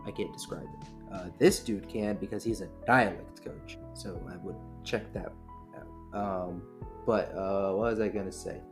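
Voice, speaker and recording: Low-voiced, rambling man chatting. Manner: rambling, excitable. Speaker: player. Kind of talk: casual chat.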